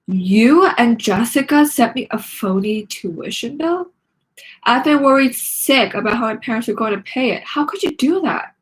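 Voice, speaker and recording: Earnest storybook schoolgirl, read by a woman, dramatic and emotional, with a breathy edge. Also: fairly high pitch, animated, normal speed, voice pushed up, poor audio. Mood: outraged.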